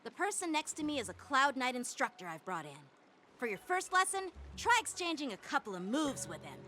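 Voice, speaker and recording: A woman voicing a confident young sword master teaching a pupil in an anime-style video game, crisp and commanding. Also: high voice, very animated, fairly quick, pushed and bright, slight background noise, exaggerated voice. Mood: confident instructing.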